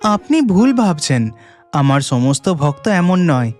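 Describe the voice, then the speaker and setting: Cartoon male god: assured, loving, expressive swings in pitch, music underneath. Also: a little slow. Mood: calm, confident.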